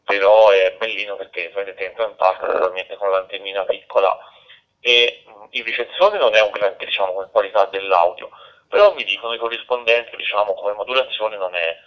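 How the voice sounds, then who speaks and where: A man's voice: on the low side, brisk. A man chatting casually as an amateur radio operator, rambling along with many filler phrases. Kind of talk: casual radio contact chat. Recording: dull digital two-way radio audio.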